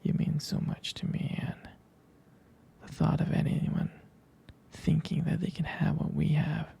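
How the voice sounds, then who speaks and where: A man playing a jealous, possessive but tender boyfriend in an ASMR roleplay, speaking in a hesitant, intimate, breathy whisper. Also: on the high side, monotone, mellow, heavily acted. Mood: jealous, earnest. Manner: hesitant, intimate, halting delivery.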